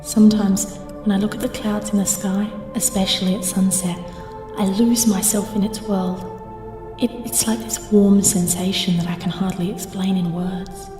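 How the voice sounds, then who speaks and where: A woman's voice: mid-range voice, fairly even intonation, normal speed, close to an everyday voice. Actress as dreamy young woman, distant and echoing. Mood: wistful, awed. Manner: earnest, wistful. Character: dreamy, trusting, idealistic young woman who loves art. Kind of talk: audio drama acting. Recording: distant, echoey hall, music behind.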